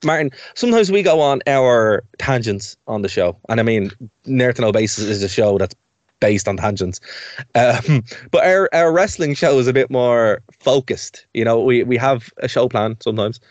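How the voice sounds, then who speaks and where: A man's voice: mid-range voice, lively intonation, moderate pace, dry recording. A young Irish man co-hosting a community radio show, chatty and rambling, laughing easily between points. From Ireland. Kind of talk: casual radio chat.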